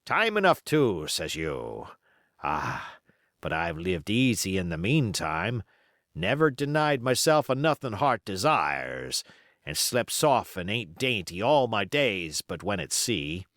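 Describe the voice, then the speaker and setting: Man performing a wily pirate cook, fifties, low pitch, swooping intonation, salty, boastful, storybook villain. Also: very animated, slow, fairly bright tone, dry recording, clean studio sound, exaggerated voice. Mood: boastful, confiding. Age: 50s. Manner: salty, rambling, folksy boasting. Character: wily fifty-year-old one-legged pirate sea cook, boastful and flattering.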